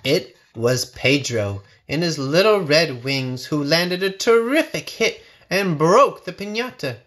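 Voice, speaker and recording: A man's voice: on the high side, expressive, slow pace, a bit bright. Man reading a children's story expressively. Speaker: storybook reader. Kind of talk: scripted children's story narration.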